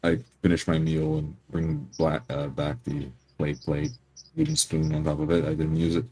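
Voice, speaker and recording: A man playing a tabletop game, improvising his character's words and actions in measured, slightly formal wording. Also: very deep voice, animated, dark tone, breathy edge.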